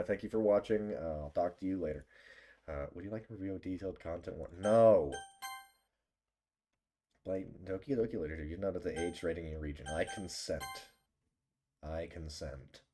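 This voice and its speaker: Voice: low-pitched, dark tone, slight background noise. A livestreamer chatting casually while gaming and reading game text aloud, playful, rambling and mock-dramatic.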